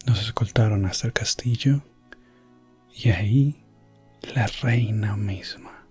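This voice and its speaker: A gentle, breathy elf prince, played by a man recounts fantasy adventures in an ASMR roleplay. His voice is fairly low and drifts into whispers. He speaks very slowly, with calm, principled courtesy. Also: soft background music, exaggerated voice. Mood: calm, recounting. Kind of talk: soft-spoken ASMR roleplay, medieval fantasy storytelling.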